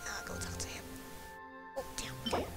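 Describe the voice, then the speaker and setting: A woman giving casual, informal and rambling commentary as she plays a video game. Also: a little slow. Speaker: video game player recording a playthrough. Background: loud game music.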